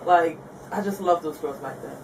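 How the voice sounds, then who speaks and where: A woman's voice: sits low, moderate pace, far from the mic, noisy background. Easygoing woman rapper from Guyana. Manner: laughing, easygoing, candid. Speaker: rapper guest. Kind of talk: casual interview chat.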